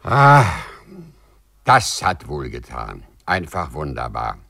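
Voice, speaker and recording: A man's voice: very deep voice, huge pitch swings, takes it slowly, gravelly, over music, heavily acted. An actor in a 1950s German radio play, playing a polite, proud man who walks through walls, formal and dreamily rapturous. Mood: elated, dreamy.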